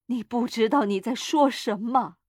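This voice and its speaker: A woman's voice: a little high, normal speed, a little mellow, dry recording, studio quality, lightly acted. Woman as a timid, hesitant old husband. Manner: hesitant, stammering, trembling.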